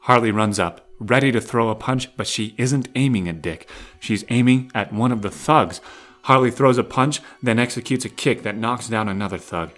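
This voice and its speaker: A man's voice: medium pitch, moderate pace, a bit bright. A man reading scripted narration as the narrator of an audio drama.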